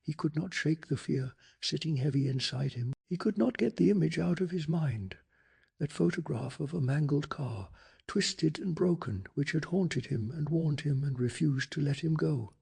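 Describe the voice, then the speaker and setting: A man narrating a story in a calm, measured, gentle soft-spoken ASMR style. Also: on the high side, gravelly, slightly rough. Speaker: narrator of a bedtime story channel.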